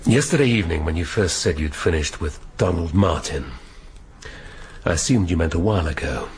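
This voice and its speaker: Low male voice, British accent, sardonic middle-aged detective, probing, radio play, slight noise. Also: expressive, a little fast. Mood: probing, intimate. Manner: dry, questioning, sardonic. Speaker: actor in a radio drama. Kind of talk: radio drama acting.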